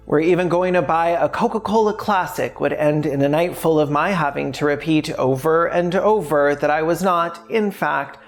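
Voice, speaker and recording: A woman with a low-pitched voice narrates a scripted video essay. She is wry and conversational, with casual, sweary asides. Soft music plays behind her. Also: gravelly.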